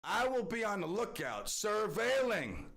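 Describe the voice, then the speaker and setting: A man's voice: high-pitched, big pitch swings, a little slow, a bit bright. A middle-aged man hosting a talk radio show, ranting in a boastful, theatrical way. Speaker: radio host.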